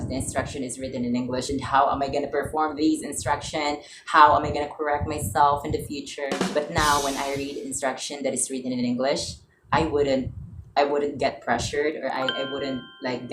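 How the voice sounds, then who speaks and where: A woman, a Tagalog speaker and student, earnestly and reflectively telling a personal vlog story with frequent restarts. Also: rumbling low. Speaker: student vlogger. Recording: roomy with loud background music.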